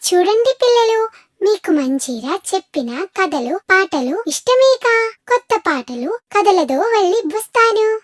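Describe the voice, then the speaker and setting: A woman's voice: extremely high pitch, big pitch swings, unhurried, voice pushed up, exaggerated voice. A woman voicing a cheerful little cartoon mascot girl who greets children in a sing-song, squealing way, recorded dry and close. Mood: gleeful.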